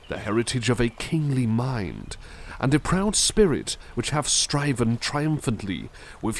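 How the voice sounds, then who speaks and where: A man voicing a kind-hearted, caring butler, reading poems softly and musing gently between them in a close, intimate roleplay. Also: mid-range voice, a little hiss or hum. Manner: soft-spoken, gentle, reflective asides. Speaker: ASMR roleplay performer. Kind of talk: ASMR roleplay poetry reading.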